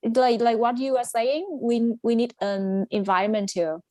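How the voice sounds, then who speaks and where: A woman's voice: fairly high pitch, steady tone, moderate pace, mellow, no echo. A woman hosting a casual conversation, talking in a friendly, agreeable way with hesitant fillers. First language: Chinese. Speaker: host.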